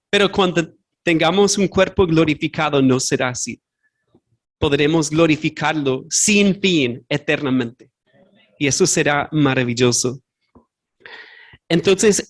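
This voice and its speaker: A man's voice: a little high, measured pace. A man preaching a sermon as pastor, earnest, a non-native Spanish speaker who searches for words and corrects himself aloud. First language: not Spanish. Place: church sanctuary.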